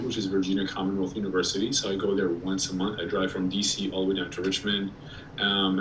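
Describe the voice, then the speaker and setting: A man from Iraq, a periodontist giving a casual presentation over a video call, slightly hoarse and talking with many ums and restarts. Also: on the low side, fairly bright tone. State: slightly hoarse, clearing throat. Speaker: periodontist guest speaker. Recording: distant mic with faint background noise.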